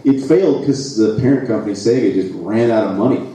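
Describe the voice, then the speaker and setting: A man's voice: medium pitch, brisk, dark tone. A man from the United States chatting casually and jokily as a guest on a live panel, rambling with restarts. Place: live expo stage, large hall. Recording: echoey hall, distant mic.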